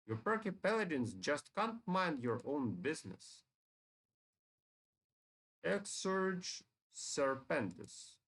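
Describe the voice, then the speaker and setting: Man acting a scheming game necromancer, taunting, grandiose, slow, swinging pitch. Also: sing-song, a bit bright, heavily acted. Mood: menacing. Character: gloating, scheming male necromancer villain of a fantasy game.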